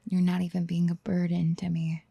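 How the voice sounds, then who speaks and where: A woman acting a doting, possessive motherly figure in a soft-spoken ASMR roleplay, soothing with breathy half-whispers. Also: lowish voice, monotone, brisk, no echo. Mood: doting reassurance.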